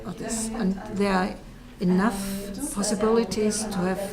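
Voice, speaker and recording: A woman arguing a point in a discussion with deliberate, broken phrasing. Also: mid-range voice, steady tone, a loud crowd. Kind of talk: panel discussion.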